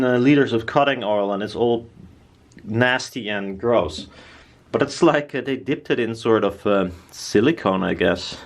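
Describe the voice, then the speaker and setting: A man talking casually and bluntly as he presents his own DIY build, rambling informally. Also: mid-range voice. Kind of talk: casual workshop chat.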